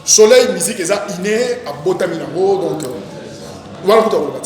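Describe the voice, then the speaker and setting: A man with a Congolese accent, an actor being interviewed, talking casually in an animated, rambling way and repeating his phrases. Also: high voice, a little fast. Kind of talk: casual interview, recounting career. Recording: very echoey reverberant room, music behind. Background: music.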